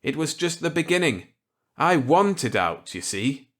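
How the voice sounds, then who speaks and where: A man's voice: a little high, big pitch swings, a little slow, fairly bright tone, voice pushed up, exaggerated voice. A man voicing a genial, wry, unrepentant scientist in a storybook reading, with clipped, breezy delivery. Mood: wry, assured.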